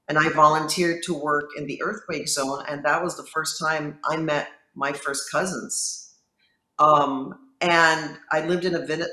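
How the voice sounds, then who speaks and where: A woman's voice: low-pitched, pushed and bright. A woman in her fifties from Lebanon, a judge, telling her personal story in an earnest, reflective way in an interview. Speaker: judge and guest. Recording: roomy, echoey sound.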